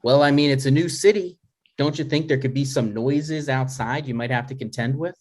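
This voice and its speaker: A man's voice: medium pitch, a little fast, a little mellow, close to an everyday voice. A man playing a dry, exasperated, reluctant adult son in a comedy table read, hesitant and natural in delivery. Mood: irritated.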